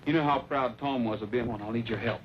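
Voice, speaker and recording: An actor playing a steady, determined cowboy hero in an old western, plain-spoken and firm. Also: male voice, mid-range voice, fast talker, natural voice. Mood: earnest, steady. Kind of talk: old western film acting. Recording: muffled old film soundtrack, clear noise.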